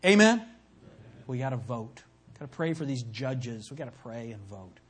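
Husky-voiced emphatic preacher man. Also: very animated, normal speed. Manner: emphatic, rising to loud peaks then dropping low. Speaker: pastor. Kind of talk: sermon.

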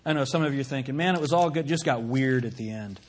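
A man preaching a sermon from the pulpit, emphatic, swinging from loud peaks to low, quiet lines. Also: fast talker. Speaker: pastor.

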